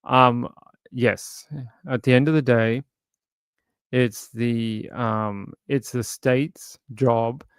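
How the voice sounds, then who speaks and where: A man hosting a true crime livestream, talking casually and reading chat, his voice crackly and gravelly, halting with fillers and restarts. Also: medium pitch, steady tone, no echo.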